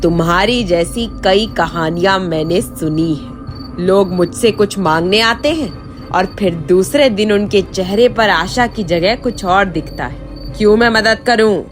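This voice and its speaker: A woman voicing a stern, proud village landlord man with a commanding manner in an animated story, over music. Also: mid-range voice, measured pace, voice pushed down, close to an everyday voice. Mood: skeptical. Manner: commanding, questioning.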